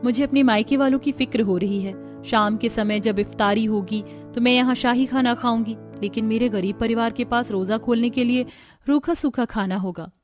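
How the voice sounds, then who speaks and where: A woman's voice: fairly high pitch, normal speed, faint music behind, close to an everyday voice. A woman voicing a humble, anxious young bride from a poor family in a cartoon story, earnest and deferential. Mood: worried.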